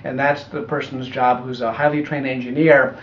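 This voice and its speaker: A middle-aged American man explaining his audiobook work in a measured, thoughtful way during an interview. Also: medium pitch, level tone. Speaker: audiobook producer being interviewed. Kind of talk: conversational interview answers. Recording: echoey room, muffled, faint noise.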